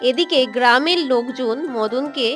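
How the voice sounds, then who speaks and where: A woman's voice: high voice, moderate pace, fairly bright tone. A woman giving scripted narration for a cartoon story. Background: music.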